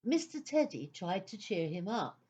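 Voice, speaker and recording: A woman narrating a children's story from a script in a theatrical, deliberate manner. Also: medium pitch, big pitch swings, dark tone, breathy edge, muffled recording.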